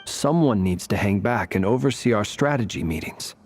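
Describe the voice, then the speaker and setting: A man voicing a composed, courteous warrior ally in a video game, with a measured delivery. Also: fairly low pitch, expressive, a little hiss or hum, lightly acted. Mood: firm, reasonable. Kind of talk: game dialogue acting.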